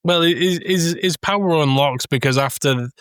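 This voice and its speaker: A man co-hosting a casual film discussion, telling anecdotes in an animated way and acting out quotes. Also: on the high side, normal speed, clear, resonant tone, no room sound, clean studio sound. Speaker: podcast co-host.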